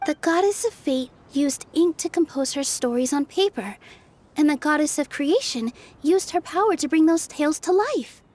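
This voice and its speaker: Casting: kind young fairy maiden for a game; very high, soft, sweet, earnest. Faint ambience behind. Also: female voice, expressive, moderate pace, slight background noise. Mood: thoughtful, surprised. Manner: gentle, earnest, thoughtful. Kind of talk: video game voice acting.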